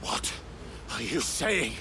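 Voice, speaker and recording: An actor playing an intense, defiant young hero in a fantasy video game, challenging in terse lines. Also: male voice, medium pitch, very animated, slow pace, noisy background. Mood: defiant, demanding. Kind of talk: dramatic video game cutscene acting.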